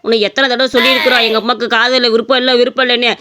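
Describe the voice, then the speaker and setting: A woman's voice: on the high side, level tone, very fast, lightly acted. A woman playing an exasperated village son in a comic skit, scolding and sarcastic. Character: gruff, exasperated son defending his mother.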